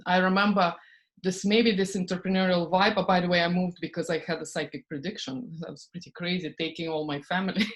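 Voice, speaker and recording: A woman from Estonia speaks, her voice sitting a little low. She talks in an animated, casual way, slipping into quoted inner-voice asides and soft laughter between thoughts. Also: rough recording. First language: Russian. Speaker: podcast guest, spiritual business coach. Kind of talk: casual interview talk.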